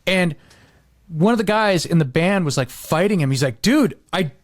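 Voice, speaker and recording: A man's voice: high voice, animated, normal speed, no room sound, clean studio sound. A man in casual podcast conversation, telling stories informally and acting out quotes as he goes. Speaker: podcast host.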